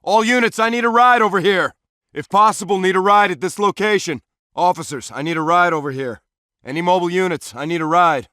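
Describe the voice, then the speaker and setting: A man's voice: high-pitched, brisk, pushed and bright, clear, resonant tone, no room sound, clean studio sound. A man playing an alert male SWAT police officer in a video game, giving short, clipped, commanding tactical callouts. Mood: low, focused. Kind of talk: tactical shooter voice lines, short radio-style callouts.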